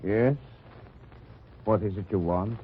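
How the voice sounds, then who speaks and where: A man's voice: on the low side, slow, slightly rough. An actor with a German accent playing a wary, clipped German resistance man in a 1940s radio drama. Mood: guarded. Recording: lo-fi old radio transcription with hiss.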